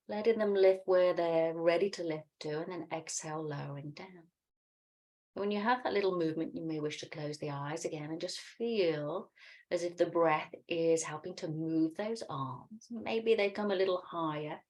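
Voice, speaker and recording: A middle-aged woman with a low-pitched voice guides a relaxation practice. She gives calm, soothing instructions on breath and gentle movement, leaving unhurried pauses between phrases. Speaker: yoga and meditation teacher.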